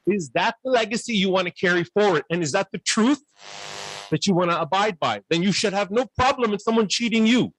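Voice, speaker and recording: A man's voice: high-pitched, expressive, fairly quick, fairly bright tone, slight background noise, poor audio. A man speaking as an academic on a panel, earnest and lecturing, firing off rhetorical questions. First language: not Urdu. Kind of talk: panel discussion remarks.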